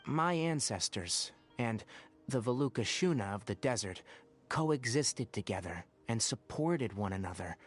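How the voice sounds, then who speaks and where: Man voicing a measured, principled young forest ranger. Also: medium pitch, lightly acted. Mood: earnest, thoughtful. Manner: measured, articulate, earnest. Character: principled, serious male forest ranger and former scholar. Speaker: video game character. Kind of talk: scripted game dialogue.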